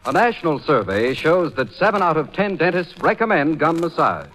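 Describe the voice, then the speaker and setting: A man's voice: fairly high pitch, very animated, moderate pace, fairly bright tone, slight background noise. An American man delivering a 1940s radio commercial in an emphatic, authoritative manner. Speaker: commercial announcer.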